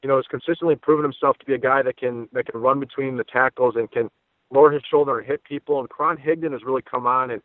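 Male sports guest, opinionated and conversational, brisk delivery, thin telephone-band sound. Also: medium pitch, fairly even intonation, no room sound. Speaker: sports radio analyst and guest. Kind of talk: sports podcast interview commentary. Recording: dry, phone-like narrow band.

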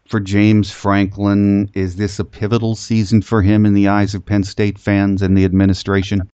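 A man's voice: lowish voice, flat delivery, moderate pace, warm tone, clear, resonant tone, no echo. A man hosting a sports podcast and interviewing a guest, on a slightly dull recording.

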